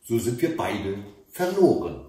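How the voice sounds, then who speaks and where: A man's voice: fairly low pitch, very animated, unhurried, mellow, pitched below the performer's own voice. A man voicing a magical captured bird in a fairy tale, giving emphatic warnings and instructions. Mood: urgent warning. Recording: echoey room, mic at a distance.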